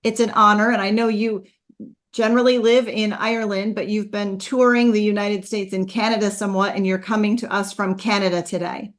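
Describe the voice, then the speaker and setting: A woman hosting a podcast, with a voice of middle pitch. She talks in a casual, upbeat way and fills her pauses with ums. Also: steady tone. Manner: chatty, upbeat, many ums. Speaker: podcast host.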